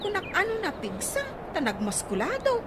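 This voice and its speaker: A woman's voice: very high-pitched, huge pitch swings, moderate pace, exaggerated voice. A woman playing a gossipy, teasing young best friend with an Ilocano accent in a radio comedy drama, full of exclamations. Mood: amused, teasing. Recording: very noisy audio with bird sounds.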